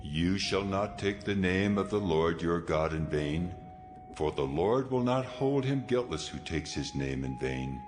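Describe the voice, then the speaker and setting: A stern almighty God in a scripture audio drama. The voice is very low and commanding, solemnly declaring law over a music bed. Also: male voice, animated, measured pace. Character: God, stern commanding male deity giving laws. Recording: music behind the voice.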